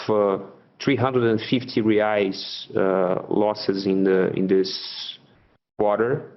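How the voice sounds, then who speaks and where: Lowish-voiced man on a dull call line. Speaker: finance executive. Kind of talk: explaining financial results on an earnings call. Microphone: conference call line. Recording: muffled conference call audio.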